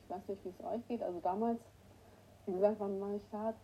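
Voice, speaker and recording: A woman with a cold hosting a casual morning livestream, greeting her viewers by name and clearing her throat often. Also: mid-range voice, fairly even intonation, a little fast, dark tone, muffled recording, slight background noise. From northern Germany. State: has a cold, hoarse at times. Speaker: livestream host. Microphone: new microphone that is not working right.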